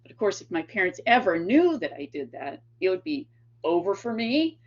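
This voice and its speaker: A woman's voice: mid-range voice, very animated, normal speed. A woman with an East Tennessee accent, giving a guest lecture and telling rambling stories about her childhood with lots of fillers. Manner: chatty, rambling anecdotes with many fillers and laughs. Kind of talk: storytelling lecture. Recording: dull lo-fi sound.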